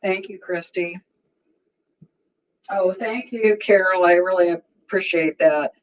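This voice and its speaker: A woman's voice: lowish voice, takes it slowly, muffled recording, a little hiss or hum. A woman in her sixties with a strong voice, hosting a casual livestream and chatting easily with her viewers, often laughing. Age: 60s. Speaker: livestream host.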